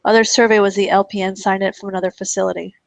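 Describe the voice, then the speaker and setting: A woman with a gravelly, strained voice that is starting to go. She chats casually and keeps cutting in with side remarks. The recording is dry, with no room sound. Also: mid-range voice, level tone, racing, no room sound. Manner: chatty, jumps in with asides. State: losing her voice. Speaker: webinar co-host. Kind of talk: casual training discussion.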